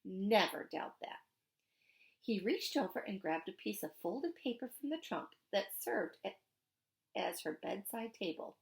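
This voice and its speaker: A woman reading a storybook aloud in an expressive narrating style. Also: mid-range voice, moderate pace, creaky. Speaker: storybook reader.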